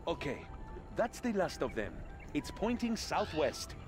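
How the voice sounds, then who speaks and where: A man's voice: a little high, very animated, measured pace, over music. A man playing an earnest, defensive young adventurer hero in a video game.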